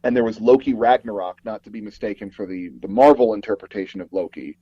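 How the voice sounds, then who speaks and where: A man's voice: lowish voice, quick pace, slightly rough, no room sound, muffled recording. A man being interviewed, talking casually in a hesitant, rambling and self-deprecating way. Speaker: interview guest, audio drama producer.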